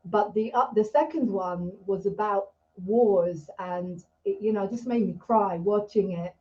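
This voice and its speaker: A woman's voice: mid-range voice, measured pace, mellow. A woman raised in Israel hosting an interview over a video call, talking in an emphatic, rambling, heartfelt way. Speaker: host. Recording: dull lo-fi video call audio.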